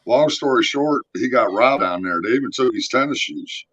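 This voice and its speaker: A middle-aged man with a deep voice, earnestly telling his story in casual chat on a live stream over a video call, with halting restarts. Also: expressive, a bit bright, no echo. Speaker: guest giving testimony. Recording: dry, dull lo-fi stream audio.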